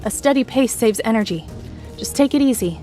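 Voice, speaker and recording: A woman voicing an annoyed, bossy young female student in an audio drama, brisk and sarcastic but helpful. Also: a little high, lively intonation, dark tone, faint music behind, voice pushed up, close to an everyday voice. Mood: firm, instructing.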